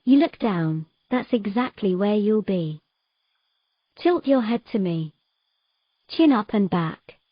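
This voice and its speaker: A woman acts out a mermaid from a fantasy audio drama, composed and frank. Her mid-pitched voice coaxes and teases plainly. The sound is very dry and a little dull. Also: animated, slow pace, pauses often, no echo. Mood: calm instructing. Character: calm, frank, teasing female mermaid in mythical fantasy.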